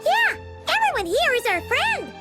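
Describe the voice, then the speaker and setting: A woman voicing a small, excitable fairy girl companion in a video game, chirpy and childlike. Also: very high-pitched, normal speed, exaggerated voice. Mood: cheerful. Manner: chirpy, speaks of herself in third person. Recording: music under the voice.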